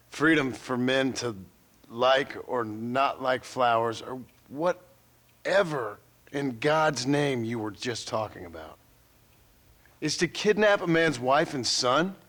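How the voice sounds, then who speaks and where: Weary outlaw, very unhurried and dry, mid-pitched, sceptical cowboy for a western game. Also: male voice, animated, a bit bright. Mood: accusing. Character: weary, gruff, wary outlaw gunslinger, male. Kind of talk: western video game cutscene acting.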